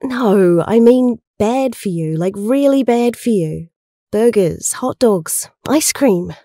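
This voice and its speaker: A woman's voice: fairly high pitch, animated, takes it slowly, warm tone, no echo, clean studio sound, heavily acted. A woman acting in an audio drama as an earnest, homesick young woman stranded among rebels, a little hesitant in her speech. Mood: wistful.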